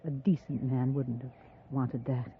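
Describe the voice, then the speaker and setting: A woman's voice: a bass voice, level tone, measured pace, husky, full character voice. An actress playing a candid, lonely frontier mother, plain-spoken and subdued, in a 1950s radio drama. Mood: wistful, candid. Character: candid, lonely frontier mother, former schoolteacher, quietly brave. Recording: muffled, narrow-band vintage radio recording.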